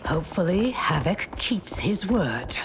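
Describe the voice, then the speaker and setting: A woman's voice: lowish voice, very animated, a little slow, background noise. A woman playing a devoted, weary warrior in a fantasy video game, earnest and dramatic. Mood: grim, weary. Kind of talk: video game cutscene acting. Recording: loud music behind.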